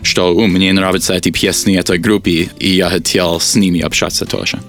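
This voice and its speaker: An American man, a teacher whose first language is English, answering interview questions in a halting way with many fillers. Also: low-pitched, little pitch movement, vocal fry, a bit bright, faint music behind. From United States. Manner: hesitant, many fillers, searches for words. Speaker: guest, teacher.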